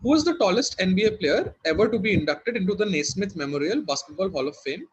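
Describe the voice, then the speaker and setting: A man's voice: sits high, expressive, quick pace, breathy edge, rough recording. A man working as quiz master, reading formally worded questions to contestants and giving brief verdicts.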